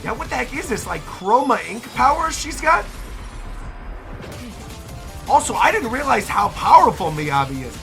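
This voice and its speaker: Animated, bright-voiced man reacting excitedly. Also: very high voice, moderate pace, vocal fry, pauses often, soft background music. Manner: effusive, exclamatory, slangy. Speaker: reaction video host. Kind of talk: excited reaction commentary, casual.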